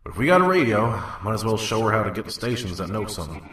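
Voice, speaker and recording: A man voicing a world-weary, gruff pony trader in a wasteland audio drama, with a folksy, jaded drawl. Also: fairly low pitch, big pitch swings, rapid-fire, a bit bright. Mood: weary, grumbling. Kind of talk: scripted first-person narration and audio drama. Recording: roomy, echoing, distant mic.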